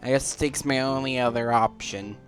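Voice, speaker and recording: A man's voice: mid-range voice, fairly even intonation, moderate pace. Casual male gamer over background music. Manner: thinks aloud. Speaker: gaming streamer. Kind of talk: casual gameplay commentary. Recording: game music behind the voice.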